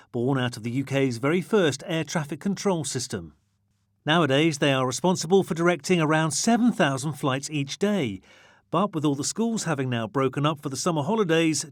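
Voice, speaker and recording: A man's voice: a little high, dry recording, studio quality. A man reading a scripted news narration as the reporter.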